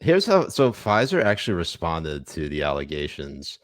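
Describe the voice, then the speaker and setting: A man's voice: low-pitched, huge pitch swings, normal speed, dry recording. A man co-hosting a casual political podcast, wry and profane, with many fillers and restarts. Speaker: podcast co-host.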